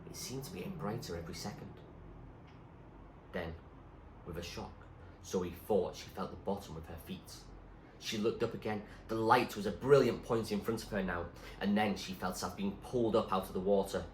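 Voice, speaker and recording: A man reading a story aloud in an expressive, suspenseful narrating style. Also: sits low, normal speed. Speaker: reader, teacher. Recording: echoey room with faint background noise.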